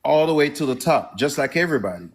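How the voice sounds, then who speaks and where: A man from the Congo whose first language is French, speaking with a Congolese French accent as a caller making his points in an earnest, measured, orderly way. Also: fairly high pitch, lively intonation. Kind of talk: earnest call-in opinion.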